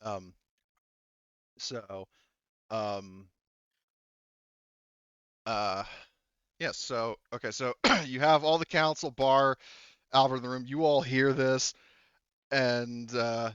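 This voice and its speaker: A man's voice: medium pitch, slow, pauses often, a bit bright, clear, resonant tone, dry recording, dull audio. A man acting as game master, narrating a tabletop roleplaying game casually and thinking aloud as he goes.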